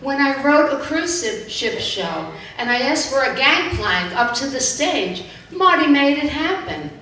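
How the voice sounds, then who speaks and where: A woman giving a thank-you speech as an amateur theatre director, projecting emphatically with pauses between phrases. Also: high voice, big pitch swings, sharp tone. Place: large hall, live stage event. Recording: very echoey noisy hall, far off.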